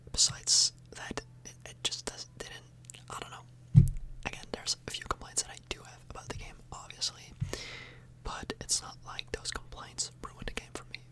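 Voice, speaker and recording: A woman speaking in a breathy whisper, rambling casually for an ASMR video, close to the microphone and often tagging on questions. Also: low-quality recording. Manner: casual, meandering, frequent tag questions.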